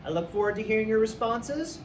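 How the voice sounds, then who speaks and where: A man's voice: high voice, expressive, a little fast. A man who works as a teacher, reading a story aloud for young students. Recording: echoey room, distant mic, faint noise.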